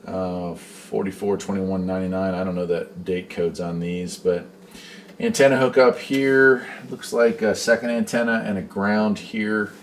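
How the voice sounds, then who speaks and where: Low-voiced American man, casual and folksy. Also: fairly even intonation, measured pace, a little hiss or hum. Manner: folksy, rambling, conversational. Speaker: host, radio hobbyist. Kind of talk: casual product showcase vlog.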